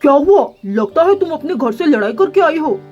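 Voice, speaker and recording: Casting: woman as male pigeon buddy, high-pitched, friendly and helpful, soft music behind. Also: sing-song, moderate pace, highs cut off. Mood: encouraging.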